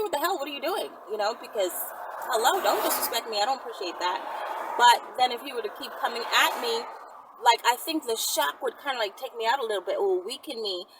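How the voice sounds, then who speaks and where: A woman hosting a casual question-and-answer video, speaking in a frank, conversational and emphatic way. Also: a little high. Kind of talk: casual chat. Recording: distant mic with clear background noise.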